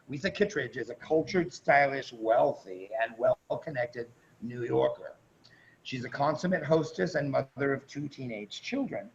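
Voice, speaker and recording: A man chattily and informally introducing performers as host of a live online event, with playful emphasis. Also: medium pitch. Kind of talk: casual live introduction on a video call. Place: online video call. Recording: muffled, noisy video-call audio.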